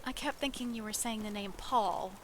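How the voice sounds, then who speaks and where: A woman's voice: a little high, moderate pace, fairly bright tone, a little hiss or hum. A woman chatting playfully and casually while live-streaming a game from home.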